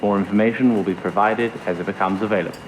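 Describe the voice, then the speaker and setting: An actor playing a grave, formal British newsreader giving a measured 1940s wartime radio bulletin. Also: male voice, on the low side, steady tone, noisy background, lightly acted.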